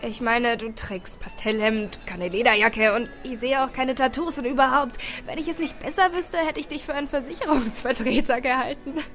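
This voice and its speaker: A woman voicing a cool, playful young man with an amused, smooth-talking manner in an audiobook. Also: sits high, normal speed, hardly any pauses, fairly bright tone, faint music behind. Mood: teasing.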